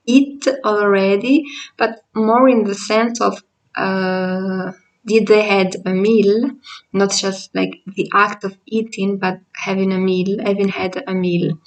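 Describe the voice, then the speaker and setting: Slow, patient woman with an Italian accent. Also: medium pitch, fairly even intonation, recorded from a distance, rough recording. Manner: slow, patient explaining with frequent fillers. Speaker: language teacher. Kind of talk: online language lesson.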